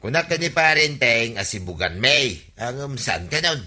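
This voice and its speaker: Man playing sharp-voiced grumbling villager, very bright. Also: mid-range voice, expressive, normal speed. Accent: Ilocano Filipino. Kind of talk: Filipino comedy radio drama acting.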